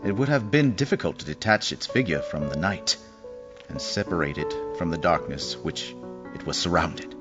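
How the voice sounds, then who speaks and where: A man's voice: low-pitched, lively intonation, moderate pace. A man narrating a classic tale in a dramatic, literary storytelling style. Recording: muffled lo-fi audio with music behind.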